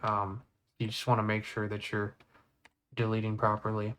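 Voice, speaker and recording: A man giving a casual step-by-step software tutorial, informally thinking aloud as he works. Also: lowish voice, monotone, normal speed. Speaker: tutorial creator. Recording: clear background noise, mouse clicks.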